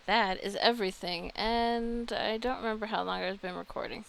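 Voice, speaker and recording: A woman's voice: medium pitch, fairly even intonation, normal speed, vocal fry, slight background noise, low-quality recording. A woman giving casual video game commentary, chatting and thinking aloud as she reacts to what she finds. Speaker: video game streamer and host.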